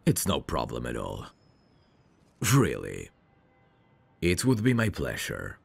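Man playing very deep, gravelly suave mafia heir. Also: wildly swooping pitch, takes it slowly, no room sound, heavily acted. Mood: gentle, flirtatious. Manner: smooth-talking, courtly, flirtatious. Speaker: voice actor. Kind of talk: ASMR roleplay, intimate one-sided dialogue.

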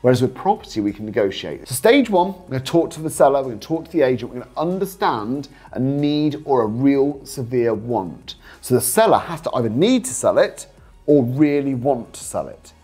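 Man, British accent, animated intonation, husky edge, mid pitch, persuasive coach, informal instructional talk. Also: fairly quick, faint music behind. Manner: persuasive, explanatory, rhetorical check-in questions. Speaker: host, property investing coach.

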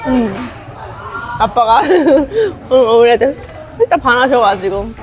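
A woman's voice: fairly high pitch, very animated, slow pace, crowd noise, low-quality recording. A woman from North Korea chatting casually with friends as a vlogger, in an informal, giggly way. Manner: informal, often laughing while talking.

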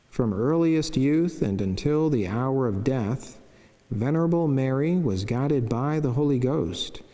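A middle-aged man with a soft, mellow voice reads a devotional text as a friar would, solemn and measured. He speaks into a lectern microphone, and the chapel adds a long reverb around his words. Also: mid-range voice. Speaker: Franciscan friar and priest. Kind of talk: devotional reading of a saint's life, scripted. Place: wood-panelled chapel, indoors.

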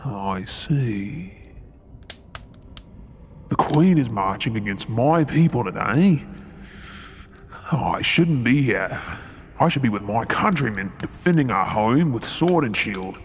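A man's voice: mid-range voice, animated, slow pace, pauses often, a little hiss or hum. A man with an Australian accent playing a resentful undercover soldier posing as a royal servant in an audio role-play, brooding in asides and formally deferential in address. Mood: polite, servile. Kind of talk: scripted ASMR audio role-play acting.

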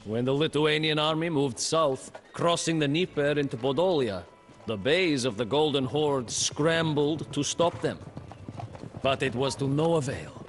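An actor narrating a game's historical campaign as a male chronicler, in slow, measured scripted storybook narration. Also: fairly high pitch. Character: male captive chronicler telling a war tale. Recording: studio narration over faint music.

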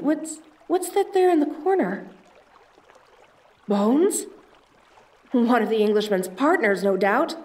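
Actress as a plucky high-voiced woman adventurer. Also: darkish tone. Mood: curious, wondering. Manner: breathy exclamations, self-coaxing, dramatic. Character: plucky, determined young woman treasure hunter, former archeology student. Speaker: audio roleplay voice actor. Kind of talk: acted audio drama monologue, talking to herself.

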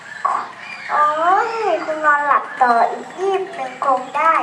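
A boastful storybook hare, played by a young girl, taunts in a very high voice. The exclamations are stretched out and mocking, heard in an echoey room. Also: takes it slowly. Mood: smug. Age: child. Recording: echoey room, background noise.

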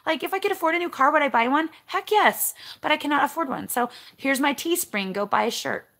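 A woman in her forties with a raspy voice, chatting casually to the camera as she answers viewer comments and rants a little, recorded up close in her bedroom. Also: high-pitched, brisk. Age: 40s. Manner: animated, ranty. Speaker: vlogger. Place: bedroom at home, indoors. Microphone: camera close in front.

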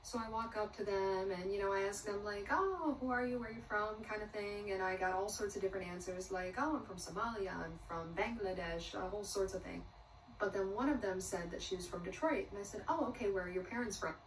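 A woman's voice: medium pitch, brisk. A young woman from the United States telling her personal story in a bubbly, outgoing way into a phone camera. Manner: bubbly and self-described extrovert. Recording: roomy distant phone clip with faint noise.

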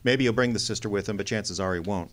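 An actor playing a cool, wisecracking private detective in a radio drama, with a wry, sardonic way of talking. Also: male voice, lowish voice, fast, a bit bright, lightly acted. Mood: cool, businesslike. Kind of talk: hard-boiled detective radio drama acting.

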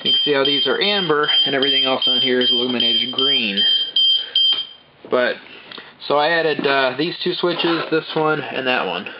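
A man's voice: medium pitch, moderate pace, very noisy. A man giving a casual step-by-step walkthrough of the switches and lights he wired inside his parked bus, filming with a handheld camera. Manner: explains step by step, plain and practical. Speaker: bus owner showing his build. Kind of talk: casual show-and-tell walkthrough. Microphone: handheld camera mic, filming himself off screen.

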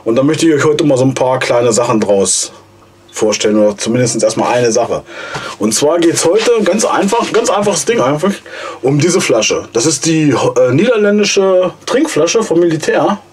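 A man in his forties, hoarse from a cold, casually and enthusiastically reviewing gear in a basement vlog. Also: on the high side, animated, moderate pace, a little mellow, slight background noise. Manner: enthusiastic, chatty, slangy. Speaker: outdoor gear channel host. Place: cluttered basement storage room, indoors. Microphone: camera mic from a few steps away.

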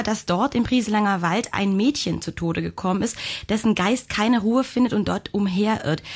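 A woman reporting conversationally in a studio, as a radio reporter. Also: medium pitch.

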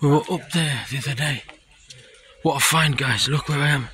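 A man, chatting casually and excitedly to camera as an exploring vlogger. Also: medium pitch, normal speed. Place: outdoors on streets and woods, later inside a tunnel. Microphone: handheld camera or phone at arm's length. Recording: noisy handheld recording on location.

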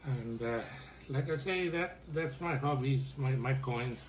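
An older man with a Chilean Spanish accent talks about his life from his living room. His voice sits at a middle pitch, and he stumbles through his answers with frequent restarts and fillers. He is recorded on a camera phone nearby. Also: a little hiss or hum. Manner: warm, halting, many fillers and restarts. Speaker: interviewee. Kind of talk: casual conversation. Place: living room at home, indoors.

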